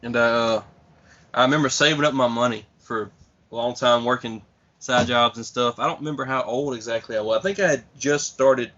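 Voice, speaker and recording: A man in his late twenties, a podcast guest, calmly reminiscing about his early days as a gamer. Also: medium pitch, a little fast. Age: 20s. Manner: reminiscing, earnest, steady. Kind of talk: casual chat. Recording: dull lo-fi podcast mic.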